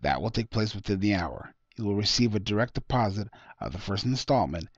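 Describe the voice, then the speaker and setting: A bland, businesslike official from a story, read by a man. He speaks formally and procedurally in a low voice. The recording is slightly dull. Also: expressive, fairly quick, dry recording.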